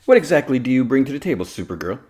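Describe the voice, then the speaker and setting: A man's voice: medium pitch, big pitch swings, rapid-fire, dark tone, pitched above the performer's own voice, natural voice. A man voicing an imperious female celebrity interviewer with a grand, commanding, theatrical delivery. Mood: pointed.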